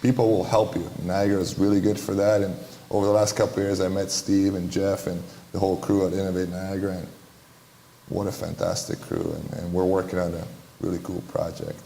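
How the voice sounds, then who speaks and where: Low, flat-voiced man in echoey hall. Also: a little fast. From Romania. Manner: earnest, blunt, repeats phrases. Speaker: panelist, basketball entrepreneur. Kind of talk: panel discussion answers. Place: large hall, live panel. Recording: echoey hall, faint room noise.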